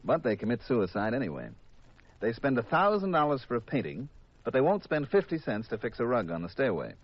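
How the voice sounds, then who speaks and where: A man's voice: mid-range voice, very animated, fairly quick. An actor playing a cynical, long-winded insurance man in a 1950s radio drama, with an exasperated lecturing manner. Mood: exasperated, sardonic. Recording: muffled vintage 1950s radio recording.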